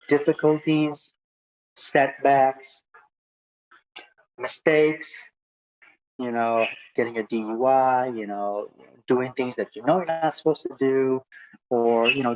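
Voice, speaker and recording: A man's voice: medium pitch, takes it slowly, pauses often, highs cut off, slight background noise. A man from Hungary, guest on an interview, talking reflectively with many fillers and repeated words. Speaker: guest speaker. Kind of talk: casual interview talk.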